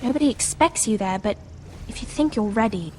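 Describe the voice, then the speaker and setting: A woman's voice: fairly high pitch, animated, fairly quick, background noise, lightly acted. A woman playing an anxious, devoted teenage noble sister in a dramatic video game, speaking with earnest emotion. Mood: urgent, hesitant.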